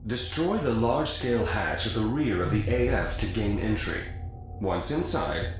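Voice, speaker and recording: An actor playing a businesslike mission broker in a video game, briefing a mercenary pilot in a measured, advisory way. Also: male voice, fairly low pitch, animated, natural voice. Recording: very echoey, music behind.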